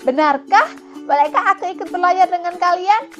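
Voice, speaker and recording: A woman's voice: very high-pitched, lively intonation, moderate pace, fairly bright tone, soft background music, pitched above the performer's own voice. A woman voicing a sly, greedy monkey in a children's tale, wheedling with false friendliness. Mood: hopeful, eager.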